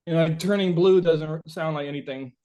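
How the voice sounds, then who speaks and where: A man with a fairly high voice talks casually as an interviewed musician. He thinks aloud, stopping and starting as he works toward his point, and leans often on the word "like". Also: warm tone. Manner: halting, thinking aloud, frequent "like".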